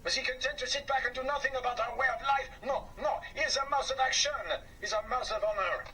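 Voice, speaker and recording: A man's voice: very high-pitched, steady tone, fast, sharp tone, pitched above the performer's own voice. A man voicing a grand older male mouse who speaks in rousing, declamatory praise, heard on a distant mic with faint background noise.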